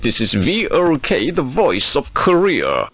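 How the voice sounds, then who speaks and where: A man reading formal scripted state radio news in a slow, measured, stately way. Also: medium pitch, huge pitch swings, no echo. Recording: shortwave radio reception, lo-fi.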